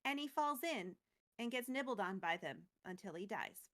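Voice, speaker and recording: A woman's voice: mid-range voice, lively intonation, normal speed, a bit bright. A woman co-hosting a casual recap of a fantasy story, telling it playfully and acting out bits as she goes. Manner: playful, acts out quotes and sound words. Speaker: co-host recapping a fantasy card game story.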